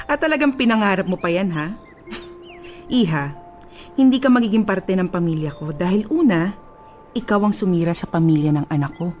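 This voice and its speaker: A woman's voice: medium pitch, expressive, a little slow, long pauses, a little mellow, soft background music, close to an everyday voice. A woman playing a haughty, disapproving middle-aged mother in a radio drama, with a Filipino accent and a sarcastic, cutting manner. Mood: scornful, hostile.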